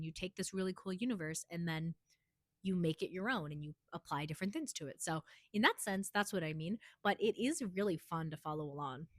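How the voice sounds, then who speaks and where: Hoarse woman chatting about a book. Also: fairly low pitch, no room sound, clean studio sound. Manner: chatty, rambling, many "like" fillers. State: hoarse from a cold. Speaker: book reviewer. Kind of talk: casual book review vlog.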